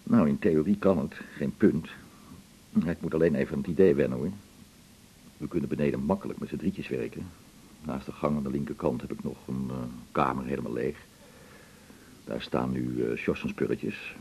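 An actor playing an easygoing, practical male doctor in a radio drama, talking in a low-key, matter-of-fact way. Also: moderate pace, creaky, mellow, slight background noise, rough recording. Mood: thoughtful, agreeable. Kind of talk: naturalistic radio drama acting.

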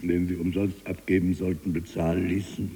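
A husky, very low-voiced man holds forth as a jailed freethinking writer in a radio drama. He is eloquent, taunting and obsessive, moving between murmur and declamation. The sound rings with long hall reverb. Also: flat delivery, normal speed, warm tone. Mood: bitter lecturing. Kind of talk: theatrical radio drama monologue.

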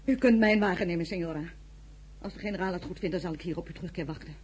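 Husky, pleading older mother. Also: lowish voice, racing, a little mellow. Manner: formal, emphatic, pleading, with Italian words mixed in. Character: anguished, imploring older mother of Napoleon, proud madame. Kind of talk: Dutch radio drama acting.

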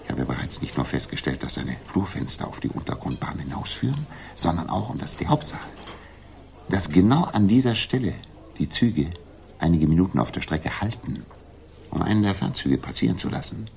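An actor playing a confident, methodical Victorian detective in a 1960s German radio play, reasoning in a deliberate, commanding way. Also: male voice, deep voice, big pitch swings, vocal fry, a little mellow, heavily acted. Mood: pleased, revealing. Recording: noisy 1960s radio drama recording.

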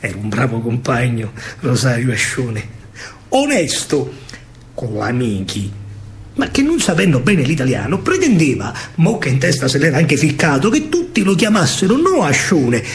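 A man with a Roman accent performing a theatrical verse monologue in dialect, expressive, dramatic and colloquial. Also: mid-range voice, normal speed, slight background noise. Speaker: stage actor and storyteller.

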